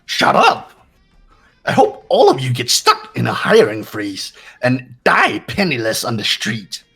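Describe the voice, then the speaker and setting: A man voicing a sinister robotic bear mascot in a fan-voiced game, melodramatic and mock-sweet with drawn-out phrasing. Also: medium pitch, huge pitch swings, gravelly, sharp tone, breathy edge, soft background music, heavily acted. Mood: aggrieved, angry. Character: sinister theatrical robotic bear mascot, male, gleefully cruel.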